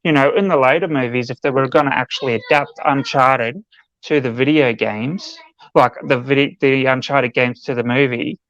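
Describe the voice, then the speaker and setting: A man's voice: medium pitch, steady tone, normal speed, a bit bright, dry recording, highs cut off. A man co-hosting a podcast, airing his gripes and opinions in a casual, conversational way. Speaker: podcast co-host. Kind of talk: casual panel discussion.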